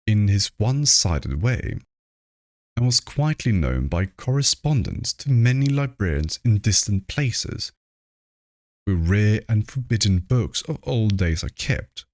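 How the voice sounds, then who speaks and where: A man reading classic horror fiction aloud as scripted narration, in a measured, deliberate manner, close to the microphone. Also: on the low side, dry recording. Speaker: audiobook reader.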